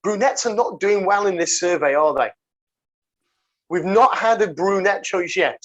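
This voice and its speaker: A man hosting a casual podcast over a video call, jokey and quick to laugh, calling his guest mate. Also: sits high, animated, muffled recording. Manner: jokey, laughs often, calls people mate. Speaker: podcast host.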